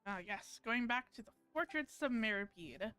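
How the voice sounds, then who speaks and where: A woman's voice: fairly high pitch, lively intonation, normal speed, sharp tone, soft background music. A woman giving casual live-stream commentary on a game, rambling and thinking aloud as she plays.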